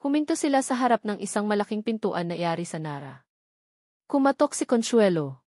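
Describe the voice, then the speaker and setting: A woman reading a scripted dramatic story as an audiobook narrator, in a measured, solemn storytelling manner. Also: mid-range voice, expressive, darkish tone, dry recording, studio quality.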